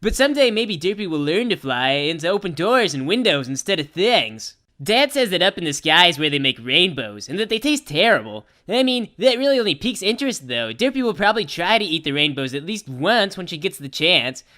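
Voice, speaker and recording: A woman plays a brash young girl pony reading out a cartoon storybook. The voice is bright and forceful, swinging widely in pitch. The telling is childishly simple, with irreverent, slangy asides. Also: sing-song, brisk, hardly any pauses. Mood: dry, casual aside. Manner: childishly simple phrasing mixed with casual, sardonic slang.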